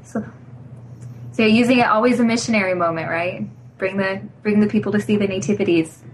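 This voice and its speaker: A woman's voice: mid-range voice, fairly even intonation, fairly quick, a little hiss or hum, low-quality recording. A woman chatting casually in an upbeat way, with a few fillers. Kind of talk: casual chat.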